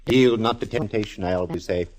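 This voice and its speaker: A man plays a whimsical gentleman sidekick in an old radio mystery. His voice is deep. He speaks with droll, affectionate archness in period radio style. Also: fairly quick, natural voice. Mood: droll, breezy. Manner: arch, droll. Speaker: radio drama actor. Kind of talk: 1940s radio acting. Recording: vintage 1940s radio recording.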